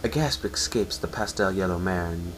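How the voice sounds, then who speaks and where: A man's voice: deep voice, normal speed, noisy background. A man giving a dramatic, scripted narration as a reader of fan fiction.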